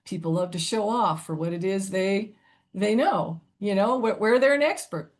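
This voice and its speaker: An older woman with a youthful-sounding voice presenting a webinar in a chatty, conversational way, often tossing in "you know" and "right?". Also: moderate pace. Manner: chatty, lively pitch swings, frequent "you know" and "right?".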